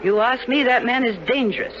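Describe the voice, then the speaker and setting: An actress playing a suspicious, outspoken, anxious wife in a 1970s radio drama, theatrical and emphatic, on an old recording. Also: female voice, fairly high pitch, brisk, muffled recording, background noise, natural voice. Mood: protesting, resigned.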